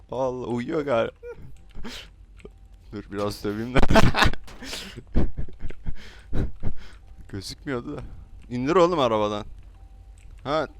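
A man's voice: mid-range voice, fairly bright tone. Playful, mock-polite man joking through game roleplay. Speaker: gaming YouTuber. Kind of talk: casual roleplay trolling banter while gaming.

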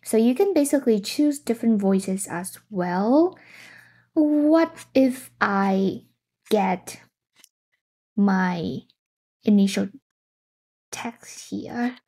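Unhurried young woman demoing code. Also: medium pitch, lively intonation, dark tone, husky. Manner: thinking aloud, reacting to results. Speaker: tech tutorial host. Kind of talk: casual screen-share tutorial. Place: indoor room.

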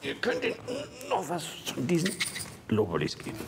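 An actor playing a kindly retired horse vet, older, soothing and easygoing, in a children's audio drama. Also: male voice, a little high, huge pitch swings, normal speed, creaky, background noise, heavily acted. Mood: cheerful.

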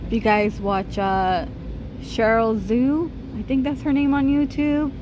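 A woman vlogger chatting casually as she films a store walkthrough on a handheld phone, reading out prices and laughing softly. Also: on the high side, flat delivery, measured pace, noisy background. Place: large retail store, indoors. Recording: store music over the voice. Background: holiday music playing in the store.

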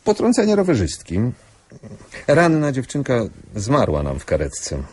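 An actor playing a senior doctor in a radio drama, answering in a measured, hesitant and sceptical way. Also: male voice, medium pitch, huge pitch swings, a little mellow. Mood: measured, guarded.

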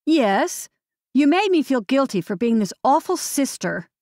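A woman's voice: high-pitched, very animated, measured pace, a little mellow, no room sound, clean studio sound, pitched above the performer's own voice, full character voice. A woman narrator voicing a blunt, busy young mother scolding her sister. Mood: sharp.

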